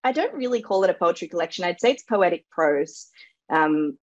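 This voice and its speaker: A woman whose first language is Serbo-Croatian talks casually about her life and work, with plenty of fillers and easy laughter. Her voice sits fairly low. The sound is dry and dull, like a video call. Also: animated, a little fast, no room sound. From Australia. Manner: chatty, laughs easily, lots of fillers. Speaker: guest author. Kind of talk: casual interview chat.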